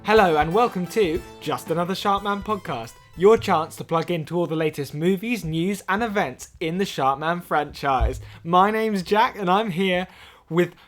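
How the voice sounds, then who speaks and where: A man acting as an excitable podcast fan with a high-pitched voice. He co-hosts in a gushing, mock-earnest way, silly and a little boastful, as in an improvised comedy podcast. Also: moderate pace, faint music behind, natural voice. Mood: excited. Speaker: podcast co-host.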